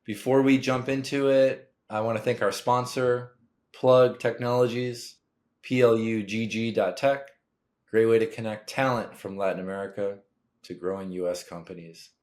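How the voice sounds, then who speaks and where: A middle-aged man hosts a podcast with a fairly low voice. He sounds upbeat and friendly as he opens the episode and poses questions, with a few "um"s along the way. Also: fairly even intonation, normal speed, a little mellow. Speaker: podcast host.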